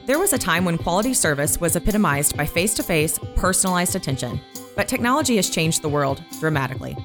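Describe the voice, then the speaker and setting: Female ad announcer, slightly low pitch, quick pace, scripted promo read, guitar music behind. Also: sing-song. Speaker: advertisement announcer.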